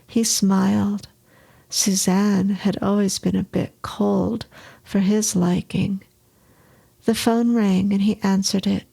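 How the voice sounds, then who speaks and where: A woman's voice: mid-range voice, level tone, slow pace, creaky, warm tone, breathy edge. A woman narrating a short story from a script.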